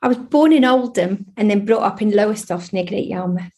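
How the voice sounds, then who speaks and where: An English woman in her forties whose speech carries a Scottish lilt. She talks openly and thoughtfully as a podcast guest, pausing on soft ums while she recalls things. Her voice sits at an ordinary middle pitch. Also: animated. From England. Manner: open, reflective, with frequent ums. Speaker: guest medium. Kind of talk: candid podcast interview.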